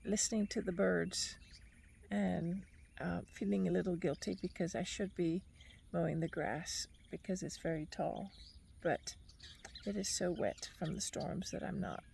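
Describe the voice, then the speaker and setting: A woman born in Argentina, reflecting in a thoughtful, unhurried personal monologue while sitting outdoors on a bench. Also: fairly low pitch, fairly even intonation, gravelly, darkish tone, slight background noise. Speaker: podcast host.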